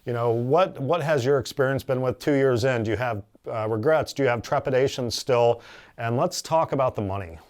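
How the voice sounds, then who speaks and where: This is a man with a medium-pitched voice, hosting an interview for his company. He speaks conversationally but with a promotional bent. He breaks in to underline key points and turns to address the viewers directly. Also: fairly quick, darkish tone. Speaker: interviewer and host, company representative.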